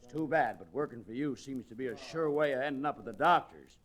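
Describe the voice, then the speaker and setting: An actor playing a gruff, surly cowhand with an American Western accent and folksy speech in a 1940s radio western. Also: male voice, medium pitch, lively intonation, fast talker, a crowd in the background, lightly acted. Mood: stubborn, grim. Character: gruff, surly cowhand, ringleader of quitters and henchmen.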